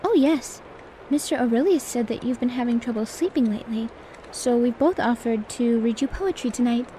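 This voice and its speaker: A woman playing a genteel, quietly competitive maid who softly reads poetry in an ASMR bedtime roleplay. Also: fairly high pitch, moderate pace, slight background noise, natural voice, poor audio. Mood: polite, teasing. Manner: genteel, polite, gently teasing. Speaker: servant reading poetry at bedtime. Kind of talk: soft-spoken ASMR roleplay, poetry reading.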